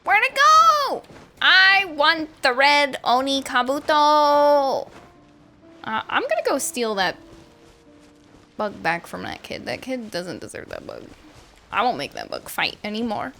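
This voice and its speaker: Girl streamer reacting to a game, bright voice, swoops all over, playful n exclaimy, casual. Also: sits high, big pitch swings, faint music behind. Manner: playful, exclamatory, drawn-out words. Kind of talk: casual gameplay commentary.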